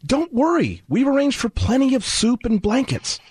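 Polite, fussy railway attendant for radio play; high, slightly husky voice, formal and concerned. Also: male voice, very animated, normal speed, a little mellow, dry recording, full character voice. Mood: reassuring, proud. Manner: formal, polite service address. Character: courteous, fussy male train station attendant, concerned and persistent. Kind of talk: old-time radio drama acting.

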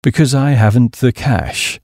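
A man voicing a dejected, penniless young English gentleman with a British accent and a downcast delivery. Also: mid-range voice, lively intonation, a little slow, vocal fry, no room sound, clean studio sound. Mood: gloomy.

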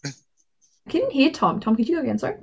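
A woman's voice: high-pitched, sing-song, fairly quick, gravelly, crowd noise. A woman co-hosting a student radio show, playful and teasing as she runs a quiz. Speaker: radio co-host, quizmaster. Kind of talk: casual radio chat.

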